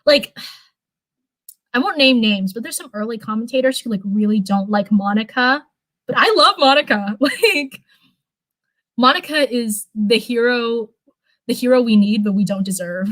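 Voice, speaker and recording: A young woman chatting casually on a livestream, rambling with lots of fillers and restarts, her voice getting hoarse. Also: fairly high pitch, expressive, a little slow, long pauses, a bit bright, dry recording. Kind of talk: casual livestream chat, answering viewers.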